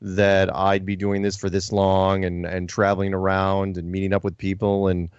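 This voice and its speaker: Gravelly-voiced man hosting talk radio. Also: sits low, monotone, normal speed, no echo. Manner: self-deprecating, dry joking. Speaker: call-in radio show host. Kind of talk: casual talk radio, live call-in chat. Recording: dull, lo-fi broadcast sound.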